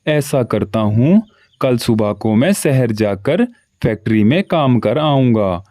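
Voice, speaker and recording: A man's voice: medium pitch, expressive, slow pace, warm tone, dry recording, studio quality. A man voicing a lazy, greedy young male crow in a cartoon, whiny and scheming. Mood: agreeable. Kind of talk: cartoon voice acting.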